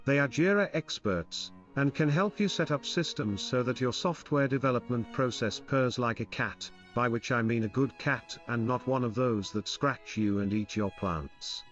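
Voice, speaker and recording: A man's voice: medium pitch, normal speed, few pauses, fairly bright tone, lo-fi sound, faint music behind. A man hosting a podcast, reading scripted sponsor messages and thanks in a droll, tongue-in-cheek way. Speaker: podcast host.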